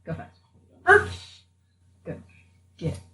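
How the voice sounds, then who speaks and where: A woman's voice: extremely slow, mellow, a little hiss or hum. A woman playing a tabletop roleplaying game, bantering playfully in and out of character.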